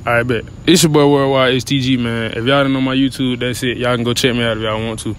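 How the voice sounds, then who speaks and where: A man's voice: mid-range voice, steady tone, fast talker, a little hiss or hum. A young man hosting a casual street interview outdoors at night, talking in loose slang. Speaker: host.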